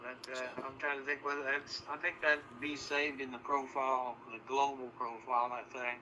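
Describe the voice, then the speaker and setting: Male radio hobbyist, medium pitch, halting and rambling technical chat, clearly noisy reception. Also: little pitch movement. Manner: rambling, halting, thinking aloud. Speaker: amateur radio operator. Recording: noisy narrow-band digital radio reception.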